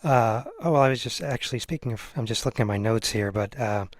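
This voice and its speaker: A man's voice: medium pitch, fast, dry recording. An American man hosting a casual interview, chatting conversationally as he asks questions. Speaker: interviewer, podcast host.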